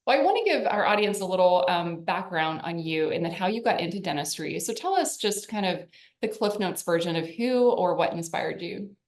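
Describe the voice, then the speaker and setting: A woman's voice: on the low side, lively intonation, moderate pace. Podcast host woman in a roomy echo. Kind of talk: casual interview. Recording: roomy echoey sound.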